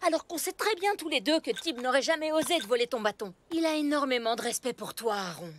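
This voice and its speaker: A woman's voice: high-pitched, expressive, fast, a little hiss or hum. A woman playing a protective, firm mother in a cartoon, with a motherly, scolding manner. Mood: persuasive. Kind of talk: French cartoon dub acting.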